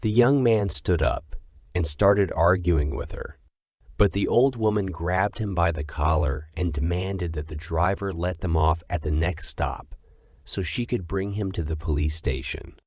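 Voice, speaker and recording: A man's voice: sits low, a little slow, a little mellow, no room sound, studio quality. A man reading scripted horror story narration.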